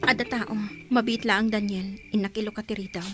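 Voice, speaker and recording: A woman's voice: mid-range voice, fairly even intonation, a little fast, over music, lightly acted. An actress playing a coy, hesitant young woman being courted in an Ilocano radio drama. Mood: wary.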